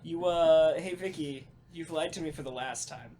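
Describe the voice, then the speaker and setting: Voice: mid-range voice, normal speed, dark tone. Casual, sweary podcast host with background noise. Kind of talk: casual group chat.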